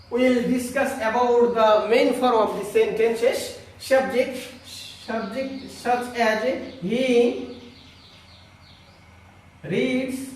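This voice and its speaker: Very slow talking guy teacher, high voice, explains grammar haltingly, super echoey. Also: a little hiss or hum. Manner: halting, instructional, with repeated check questions. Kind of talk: online grammar lesson, explaining to students. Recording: very echoey room, distant mic.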